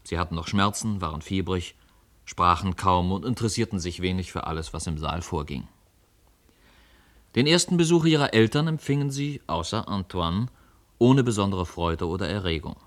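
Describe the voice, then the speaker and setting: Male narrator, literary radio play: deep register, scripted storytelling. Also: lively intonation, moderate pace, a bit bright.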